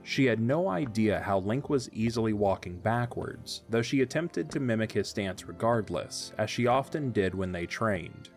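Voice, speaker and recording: A man narrating an audio drama in a measured storytelling delivery. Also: lowish voice, expressive, faint music behind.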